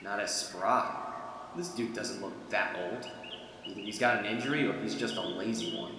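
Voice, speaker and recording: A man's voice: lowish voice, moderate pace, bright, forceful tone, a little hiss or hum. A man voicing a disgruntled, sarcastic young furniture store employee in a casual, grumbling audiobook performance. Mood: wary grumbling. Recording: echoey hall with long reverb.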